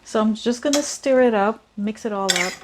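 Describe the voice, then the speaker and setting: A woman's voice: on the high side, normal speed. A woman from the Philippines, whose first language is Tagalog, chats casually through a cooking demonstration, thinking aloud with many fillers. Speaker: cooking vlogger. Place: home kitchen. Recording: clear kitchen noise behind. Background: clatter of pots and cutlery.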